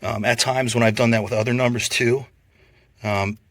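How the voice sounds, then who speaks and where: A man giving a casual, unscripted product review in a plainspoken, measured, explanatory way. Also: mid-range voice, fairly even intonation, a bit bright, no room sound.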